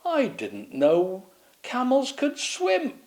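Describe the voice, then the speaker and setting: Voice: medium pitch, wildly swooping pitch, drawn-out words, a little mellow, pitched above the performer's own voice, full character voice. The storyteller voicing Sir Burpalot, a simple, hesitant knight with a raised voice. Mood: puzzled.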